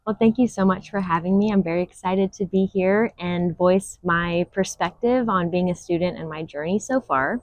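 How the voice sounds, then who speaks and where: A woman's voice: lowish voice, moderate pace, hardly any pauses, dry recording. A young woman from Hawaii, a veterinary student, speaking fluently as an interview guest. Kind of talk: unscripted interview.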